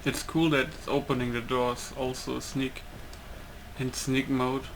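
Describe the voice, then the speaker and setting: A man talks through a video game at an unhurried pace. His commentary is casual and low-key, as if thinking aloud, with clear noise behind his voice. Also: little pitch movement, fairly bright tone, poor audio. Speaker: gamer, let's play host. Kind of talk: casual let's play commentary.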